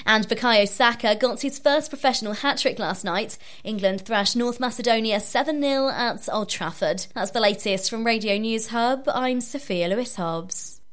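Female radio newsreader, crisp. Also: on the high side, moderate pace, hardly any pauses, fairly bright tone. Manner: crisp formal read. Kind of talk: radio news bulletin.

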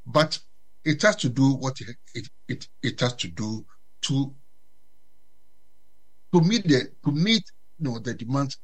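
A man from Ghana giving unscripted commentary as a guest, halting and full of fillers and restarts. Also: medium pitch. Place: UK resident.

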